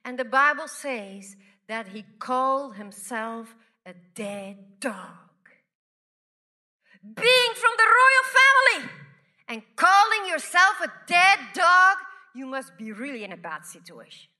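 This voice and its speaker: A woman from Belgium preaching a sermon, repeating phrases and swinging from loud declarations to hushed whispers. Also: sits high, big pitch swings. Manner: slow, deliberate delivery with repeated phrases.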